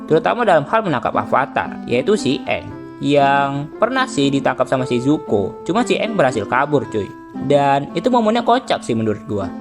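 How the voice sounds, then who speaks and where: A man's voice: mid-range voice, very animated, normal speed. A young man with an Indonesian accent giving casual, slangy comedic commentary, joking and swearing freely. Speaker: video commentator. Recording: music behind the voice.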